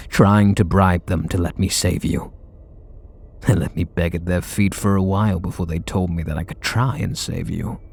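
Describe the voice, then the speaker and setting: A man voicing a brooding, guarded male super villain in an ASMR audio roleplay, speaking softly and confidingly with blunt swearing. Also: full character voice. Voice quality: low gravelly, husky voice.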